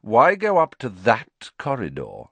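A man voicing a coldly sly middle-aged wizard professor in an audiobook, sneering and measured. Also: mid-range voice, wildly swooping pitch, vocal fry, breathy edge, no room sound, pitched above the performer's own voice, exaggerated voice. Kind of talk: audiobook character voice.

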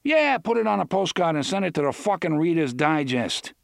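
A jumpy, wisecracking young man, loud and high-strung, firing off sarcastic lines, as a male narrator puts him on. His voice jumps up when he shouts. The recording is dry and close. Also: very animated, a little fast, vocal fry, no echo, exaggerated voice. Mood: impatient.

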